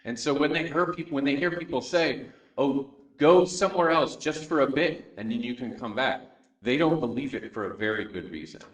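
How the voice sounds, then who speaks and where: A man from San Diego talks through his experiences on a discussion panel. He speaks in a relaxed, unhurried way, full of ums and uhs. His mid-pitched voice echoes in a roomy lecture hall. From San Diego, United States. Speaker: documentary producer. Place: university event room.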